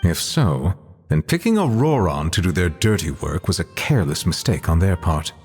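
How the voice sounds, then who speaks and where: Grave formal authority figure, very low voice, wide inflection, game cutscene. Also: male voice, very animated, normal speed, darkish tone. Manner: formal, stately. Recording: reverberant, music behind the voice.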